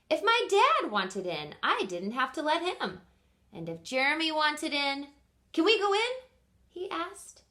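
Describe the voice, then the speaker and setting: A woman reading a picture book aloud expressively as a teacher does for children, pausing to ask simple questions. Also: sits high, sharp tone. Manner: pauses to quiz listeners with simple questions.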